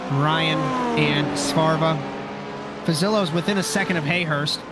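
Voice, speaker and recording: A man with a raspy voice from a sinus infection, commentating a sim race in a relaxed, chatty way that often corrects itself. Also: a little high, measured pace, heavy background noise. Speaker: lead commentator. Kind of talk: sim racing play-by-play commentary.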